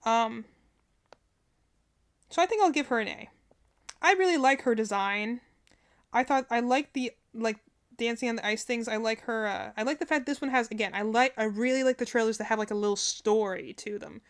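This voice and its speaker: Higher-pitched woman, clear casual rambling commentary. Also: moderate pace. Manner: hesitant, rambling, searching for words. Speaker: streamer reacting to game character trailers.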